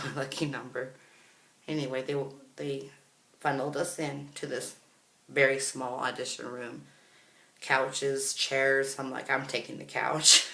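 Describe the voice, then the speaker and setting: A man's voice: medium pitch, vocal fry, bright, forceful tone. A man telling a personal story in a casual, chatty vlog style, acting out what other people said as he goes. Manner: chatty, reenacts others' words. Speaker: vlogger. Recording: roomy, echoey room sound.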